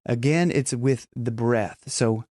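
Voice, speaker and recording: A man's voice: medium pitch, slow, a little mellow, no room sound, clean studio sound. A man teaching singing in a casual, chatty video lesson, talking emphatically and breaking off into short vocal demos.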